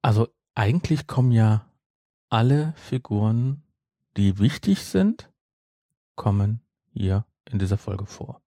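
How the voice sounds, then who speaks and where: Flippant man, mellow and animated. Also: fairly low pitch, takes it slowly, long pauses, no room sound, clean studio sound. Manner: flippant, jokey. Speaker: podcast co-host. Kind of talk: casual banter.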